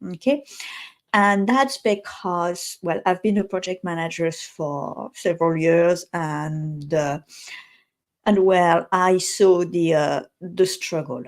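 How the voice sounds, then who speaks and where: A woman from France with a French accent, a native French speaker talking in English as a guest, thoughtful and pausing often to find the right words. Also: on the low side, fairly even intonation. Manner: thoughtful, pauses to search for words. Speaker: guest, communication specialist. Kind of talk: interview conversation.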